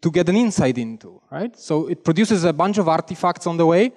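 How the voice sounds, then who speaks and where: A man's voice: a little high, lively intonation, brisk, a little mellow, big-room sound. A young man from Poland with a Polish accent, giving a chatty, self-deprecating technical talk on a conference stage. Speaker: conference speaker. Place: large conference hall stage. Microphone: headset mic.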